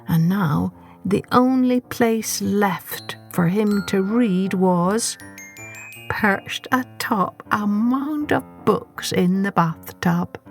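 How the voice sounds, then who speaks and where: A woman narrating a children's story expressively, with deliberate, dramatic phrasing. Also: mid-range voice.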